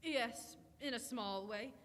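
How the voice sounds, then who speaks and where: A woman playing a calm, polite, scholarly minister in a live radio drama, with a formal, measured manner. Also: a little high, a little hiss or hum. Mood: calm, concerned. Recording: echoey auditorium.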